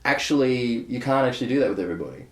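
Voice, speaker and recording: A young adult man, a bass player talking candidly in a casual interview, rambling with many fillers and restarts. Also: lively intonation, rapid-fire. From Australia. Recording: echoey room.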